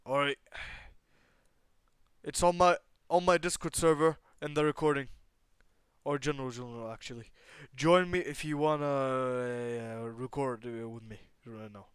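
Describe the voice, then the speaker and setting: A man's voice: mid-range voice, fairly even intonation, unhurried, clean tone, dry recording. A man with a clean, resonant voice tells a fan-made anime story off the cuff. He rambles and halts as he goes, swearing casually, in a dry recording with little room sound. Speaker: storyteller and host of a fan what-if channel. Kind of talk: casual improvised retelling of anime fan fiction with acted dialogue.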